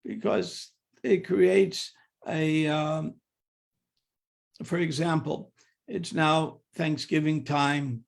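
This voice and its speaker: A man's voice: fairly high pitch, steady tone, extremely slow, gravelly, long pauses. An older man leading a devotional scripture class, mixing humorous personal stories with questions to the audience and often stumbling over repeated words. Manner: many repeated "and, and" restarts. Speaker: class speaker.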